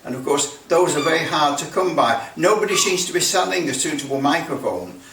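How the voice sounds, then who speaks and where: A man's voice: fairly high pitch, animated, vocal fry, bright, forceful tone. Roomy, distant recording of an older man. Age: 60s. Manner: rambling, halting, thinking aloud. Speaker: radio repair video maker. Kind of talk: casual explanatory demonstration. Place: small indoor workshop with block walls. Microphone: camera mic at a distance. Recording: roomy, distant mic, background noise.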